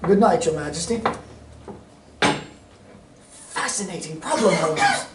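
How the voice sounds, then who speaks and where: A man's voice: a little high, animated, slow. Man as a polite doctor sidekick, echoey stage sound. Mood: companionable. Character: polite, earnest male doctor, the detective's loyal companion. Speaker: amateur stage actor. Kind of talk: 1940s-style radio mystery acting. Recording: roomy, distant stage recording with faint noise.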